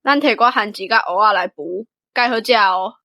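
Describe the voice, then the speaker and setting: A man's voice: high voice, normal speed, pushed and bright, no echo, pitched above the performer's own voice. A man voicing Along, a friendly, caring young villager who reassures and instructs, in a Taiwanese radio drama. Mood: friendly, eager.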